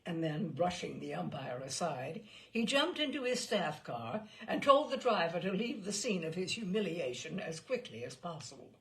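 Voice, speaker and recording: Animated woman storyteller with creaky voice. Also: lowish voice, moderate pace, hardly any pauses. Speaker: storyteller, reader of literary selections. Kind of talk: dramatised literary narration.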